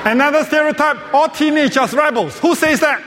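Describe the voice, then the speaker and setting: A man lectures with jokes and quiz questions that he answers himself. His voice sits very high. Long reverb from the hall trails after each phrase. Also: normal speed, fairly bright tone. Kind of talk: joke-filled lecture.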